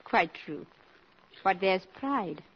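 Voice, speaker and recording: An actress playing a proud, worldly, impoverished countess in a 1950s radio drama, with a haughty, dry, imperious manner. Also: female voice, mid-range voice, expressive, slow pace, a little hiss or hum. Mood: proud, cool. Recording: muffled vintage 1950s radio recording.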